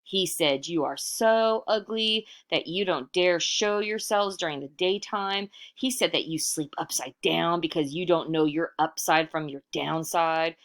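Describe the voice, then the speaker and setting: A woman reading a storybook aloud as a sly trickster man, bossy and pleading, with theatrical shouts and wheedling. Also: lowish voice, measured pace, few pauses. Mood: scheming. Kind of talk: storybook read-aloud character voice.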